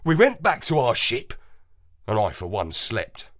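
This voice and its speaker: A woman narrating a children's story with an expressive storybook cadence. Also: a bass voice, measured pace.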